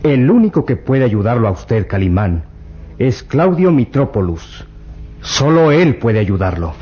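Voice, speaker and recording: Funcionario amable y servicial, voz oscura, sonido viejo y apagado. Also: male voice, medium pitch, big pitch swings, measured pace, dark tone, slight background noise. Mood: courteous. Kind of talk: vintage radio drama acting. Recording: dull lo-fi old radio recording.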